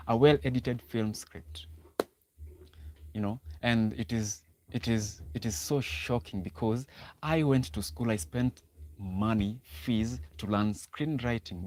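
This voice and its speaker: A man's voice: fairly low pitch, a little mellow. A young Kenyan man hosting a casual livestream, often repeating words and restarting sentences as he talks to viewers. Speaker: livestream host.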